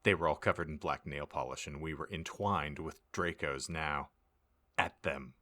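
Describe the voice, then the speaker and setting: A man's voice: extremely low pitch, normal speed, pushed and bright. A man reading aloud as a podcast host, narrating a comic audiobook in a mock-serious, theatrical way.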